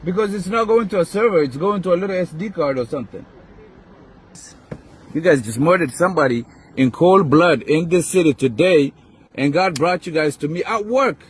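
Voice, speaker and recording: A man's voice: a little high, normal speed. A man with a foreign accent, a rideshare driver, arguing in a challenging, indignant way on a video recorded outdoors. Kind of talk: confrontational argument caught on phone video. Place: outdoor parking lot. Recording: noisy outdoor street, vehicle sounds.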